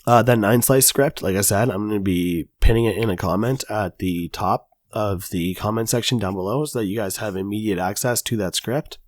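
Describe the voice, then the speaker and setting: A man recovering from an illness, his voice raspy, talking casually through a coding tutorial with lots of filler words. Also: lowish voice, moderate pace, hardly any pauses, dry recording, studio quality. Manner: casual, chatty, many fillers. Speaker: tutorial host. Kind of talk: screen-recorded coding tutorial.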